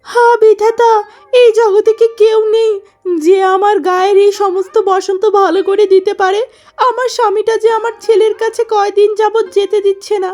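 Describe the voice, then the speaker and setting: A woman's voice: very high voice, little pitch movement, normal speed, a little mellow, faint music behind. A woman voicing a vain, selfish young wife in a cartoon story, wailing and petulant in a melodramatic way. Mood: tearful pleading.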